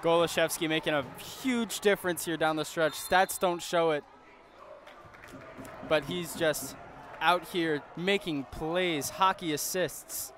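A man's voice: a little high, fairly even intonation, slow, a bit bright. A man giving enthusiastic, casual color commentary on a college basketball game. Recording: crowd and court noise behind the commentary.

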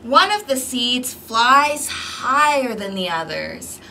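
A woman reading a picture book aloud to young children in an expressive, interactive way, recorded outdoors on a balcony. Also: fairly high pitch, takes it slowly. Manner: expressive, clearly paced, questioning as if to young children. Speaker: storytime host for a community service. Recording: distant mic with outdoor background noise.

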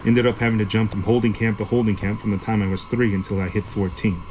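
Gruff low-voiced shopkeeper played by a man. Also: level tone, quick pace, a little mellow, a little hiss or hum, natural voice. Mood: matter-of-fact, jaded. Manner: gruff, profane, offhand storytelling. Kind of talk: sci-fi audio drama acting.

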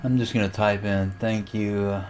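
A man giving a casual, unhurried screen tutorial, thinking aloud as he goes. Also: fairly low pitch, fairly even intonation, darkish tone, soft background music. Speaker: amateur radio tutorial host. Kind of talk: casual screen-recorded software walkthrough.

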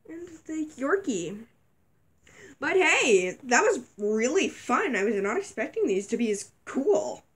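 A young woman vlogger chatting casually while unboxing toys, a little sick, her voice wearing thin, squealing excitedly at surprises. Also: high voice. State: slightly sick, voice going. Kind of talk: casual toy unboxing chat. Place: dim bedroom, indoors.

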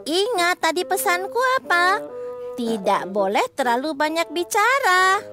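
Caring, bossy little animal puppet, very high scolding voice, music underneath. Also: male voice, big pitch swings, a little slow, exaggerated voice. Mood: fussy, caring. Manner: scolding, fussy. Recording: music behind the voice.

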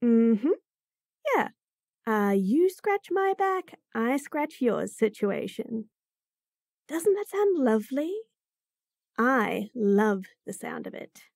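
A woman's voice: fairly high pitch, very animated, slow pace, gravelly, pauses often, darkish tone, dry recording, studio quality. A woman voicing a bratty, sassy bunny-girl chef in a fantasy roleplay audio, teasing and talking straight to the listener. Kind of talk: F4M roleplay audio, acted monologue.

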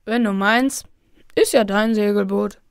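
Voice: mid-range voice, measured pace, gravelly, smooth, no room sound, studio quality. A performer playing a cautious, sensible boy in a children's radio play. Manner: careful, questioning.

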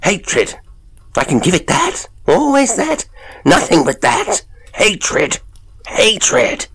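A man voicing a tormented, haunted gentleman in an audiobook, with a strained, feverish, exclamatory delivery. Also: unhurried, husky, full character voice. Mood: furious hatred. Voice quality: high, keen, strained when excited. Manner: feverish, exclamatory, repeating himself. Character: frantic, tormented gentleman, haunted and near breakdown.

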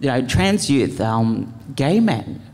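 A man speaking informally as a panelist, earnest and impassioned, in a halting, rambling way. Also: medium pitch, big pitch swings, darkish tone. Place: large event venue, live panel. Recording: echoey hall with long reverb.

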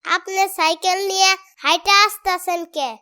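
A small, nosy nephew from a comedy cartoon, with an extremely high, piercing voice. The boy is pert and argumentative, always teasing, and speaks with a colloquial Bangladeshi accent. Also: fairly even intonation, moderate pace, bright, forceful tone. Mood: cheeky, insistent. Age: child character.

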